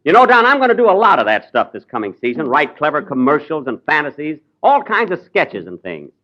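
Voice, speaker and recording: Lively, exasperated radio comedy host. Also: male voice, a little high, brisk, dull audio. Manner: vain, easily exasperated comic delivery.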